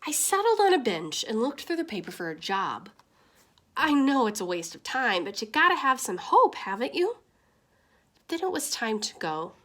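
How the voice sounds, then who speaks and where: A woman's voice: a little high, sing-song, normal speed, fairly bright tone. A woman reading as a weary, kindly out-of-work father in a storybook, plain-spoken and resigned. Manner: colloquial, resigned.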